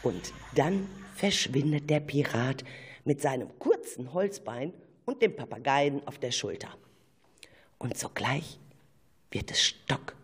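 A man narrating an amateur radio play with a breathy, husky voice in a hushed, storytelling style. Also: medium pitch, expressive, a little slow, full character voice.

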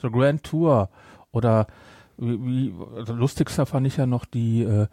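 A man's voice: medium pitch, a little slow, dark tone, no echo. A man hosting a podcast in a casual, rambling chat, often interrupting himself. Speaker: podcast host.

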